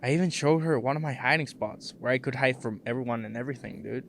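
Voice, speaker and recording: A man's voice: medium pitch, steady tone, fast talker, creaky, a little hiss or hum, natural voice. An actor playing an earnest, shy young man in an audio drama, with a hesitant way of talking. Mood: casual, unsure. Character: John, devoted young husband, also shy teenage student.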